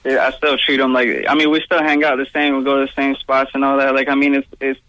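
A man's voice: mid-range voice, level tone, fast, sharp tone, dry recording, muffled recording. A young man, a guest musician, answering interview questions in a loose, casual way, filling his talk with "like" and "you know what I'm saying". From Pakistan-born, raised in Virginia and Toronto area.

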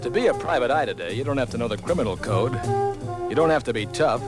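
Man as a wry private eye over loud music. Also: medium pitch, lively intonation, fast, natural voice. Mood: wry, narrating. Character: wry, tough-talking private eye narrator. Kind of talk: hard-boiled detective parody narration. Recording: old comedy record, loud brassy music.

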